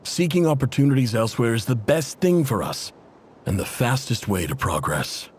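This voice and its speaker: A man's voice: mid-range voice, very animated, normal speed, slight background noise, natural voice. A man playing a proud desert tribe chief. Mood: earnest. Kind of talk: game cutscene voice acting.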